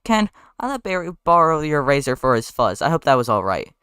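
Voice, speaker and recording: A man's voice: very animated, brisk, sharp tone, smooth, no room sound, studio quality. A man voicing an earnest, protective young woman with a scolding manner. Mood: polite. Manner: high-pitched, scolding.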